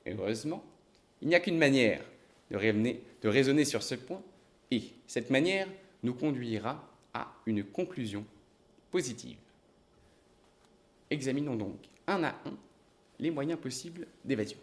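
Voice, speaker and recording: Man voicing a methodical detective, measured, deliberate, radio play. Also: mid-range voice, expressive. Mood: reasoning. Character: calm, reasoning male detective. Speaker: investigator.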